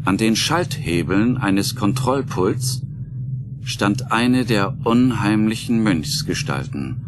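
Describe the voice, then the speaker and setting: A man's voice: fairly low pitch, background noise. A man narrating a scripted audio drama as the storyteller, in a dramatic storytelling style. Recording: music behind the voice.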